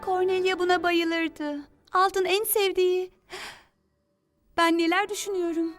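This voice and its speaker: A woman's voice: very high-pitched, steady tone, unhurried. A woman playing a naive, earnest teenage princess in a cartoon dub. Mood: wistful. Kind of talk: animated series dub acting. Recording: faint music behind the voice.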